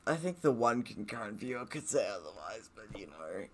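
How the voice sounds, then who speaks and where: A man's voice: fairly low pitch, big pitch swings, moderate pace, fairly bright tone. A man giving casual live gaming commentary and reading a visual novel aloud, with a sweary, joking, giggly manner.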